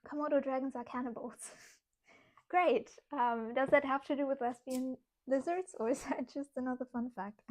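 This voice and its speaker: Brief: young woman, German accent, slightly high voice, loose chatty livestream talk, reads chat while she works, giggles a lot. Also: fairly even intonation, normal speed, darkish tone, a little hiss or hum, poor audio. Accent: German, with some British English. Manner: chatty, laughs often, talks to herself while working. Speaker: streamer. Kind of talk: casual craft livestream chat, reading chat aloud.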